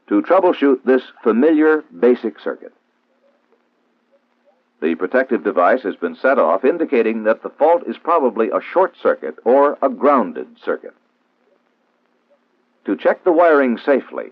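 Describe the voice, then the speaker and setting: An American man reads a scripted instructional narration in a formal, measured, didactic way, in the style of a 1960s training film. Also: mid-range voice, breathy edge, no room sound. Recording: old 1960s film soundtrack, narrow phone-like band, dry.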